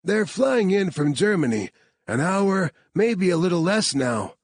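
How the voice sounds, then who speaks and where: A man's voice: sits high, very animated, unhurried, no echo, clean studio sound, voice pushed up, full character voice. A man voicing a young, earnest male computer expert in an audiobook.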